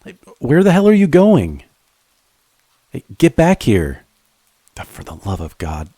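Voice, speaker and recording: A man playing a devoted friend turned lover in an intimate romantic audio roleplay, speaking earnestly and haltingly to the listener. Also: mid-range voice, wildly swooping pitch, warm tone, slightly rough, no room sound, heavily acted. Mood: alarmed, exasperated. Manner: halting, earnest, with stammered repeats.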